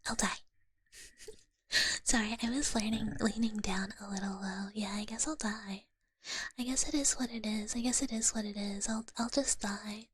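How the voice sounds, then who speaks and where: A young woman whispering softly to her stream chat in an ASMR style, giggling often. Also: medium pitch, fairly even intonation, normal speed, breathy edge. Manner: soft whisper with frequent giggles.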